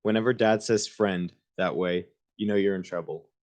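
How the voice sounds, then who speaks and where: A man's voice: sits low, steady tone, moderate pace, a little mellow. A man reading a children's book aloud in an informal way, with casual asides of his own. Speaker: reader hosting a book livestream.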